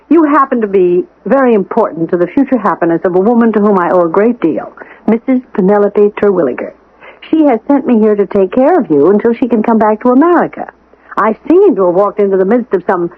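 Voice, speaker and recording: An actress in a radio drama playing a determined, sharp woman who speaks briskly and insistently with precise diction. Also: medium pitch. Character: determined, sharp young woman sent to protect a troubled man. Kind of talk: 1980s radio drama acting. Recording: muffled narrow-band old radio broadcast.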